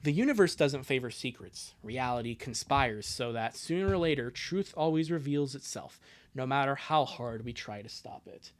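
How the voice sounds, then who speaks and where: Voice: medium pitch, lively intonation, normal speed. A livestreamer with a mid-range voice reads fan fiction aloud and comments on it. The delivery is sarcastic and exasperated, with crude joking asides. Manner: sarcastic, exasperated, breaks into outbursts. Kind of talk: live comic reading with joking commentary.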